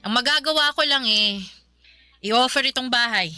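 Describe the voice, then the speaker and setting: An actress playing a blunt, practical older sister who scolds her brother in an exasperated, sharp way. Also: on the high side, moderate pace, soft background music. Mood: scolding.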